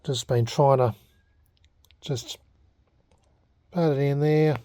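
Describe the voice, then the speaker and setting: A man's voice: mid-range voice, steady tone, takes it slowly, dark tone, no echo, clean studio sound. A man with an Australian accent talking casually and unscripted in a hobby restoration vlog, hesitant, laid-back and self-deprecating. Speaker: vlogger.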